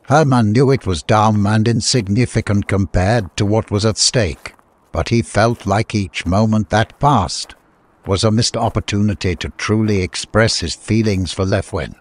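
A man's voice: lowish voice, a little slow. A man reading a scripted adventure story as an audiobook narrator.

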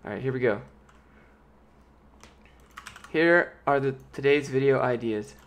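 A young man in his late twenties talks in a loose, rambling way, reading viewer questions aloud and answering them as he goes. His voice is light and boyish and now and then squeaks. He sits close to a big studio microphone in a small home office. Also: a little fast. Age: late 20s. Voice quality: youthful, light voice that sometimes squeaks. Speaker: streamer answering chat. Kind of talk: casual live-stream chat. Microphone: large studio mic with pop filter, close.

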